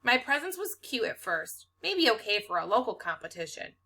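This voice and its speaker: A woman's voice: fairly high pitch, very animated, moderate pace, bright, forceful tone, exaggerated voice. A woman voicing a self-conscious, earnest schoolgirl who is downcast, in a storybook reading. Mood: hurt, dejected.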